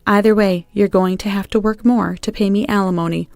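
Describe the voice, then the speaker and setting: A woman's voice: mid-range voice, steady tone, fairly quick, vocal fry, darkish tone, dry recording, close to an everyday voice. A woman voicing a firm, unforgiving wronged wife in a narrated story, stern and decisive.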